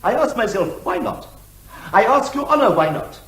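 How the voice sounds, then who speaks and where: An actor playing a verbose barrister who argues rhetorically and is elaborately deferential to the judge. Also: male voice, sits high, big pitch swings, moderate pace, fairly bright tone, reverberant. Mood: declamatory, insistent. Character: verbose, theatrical male barrister, obsequious to the judge. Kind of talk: comic courtroom advocacy.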